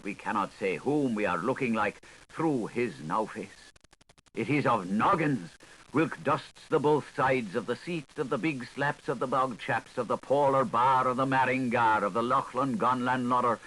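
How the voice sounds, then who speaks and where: A man's voice: mid-range voice, lively intonation, unhurried, a bit bright, slightly rough, poor audio. A man reading literary fiction aloud as an audiobook narrator, in a theatrical, playful manner. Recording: older 1980s recording with audible noise.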